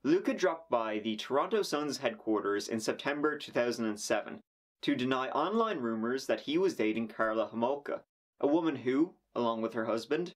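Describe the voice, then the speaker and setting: A young man narrating a true crime documentary, dropping in dry, sarcastic asides between the facts. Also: medium pitch.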